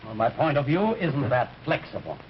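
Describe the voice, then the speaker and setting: An actor playing a blunt, suspicious police detective in an old-time radio drama, speaking in terse challenges. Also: male voice, mid-range voice, sing-song, normal speed, close to an everyday voice. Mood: scornful. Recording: muffled, hissy old radio recording.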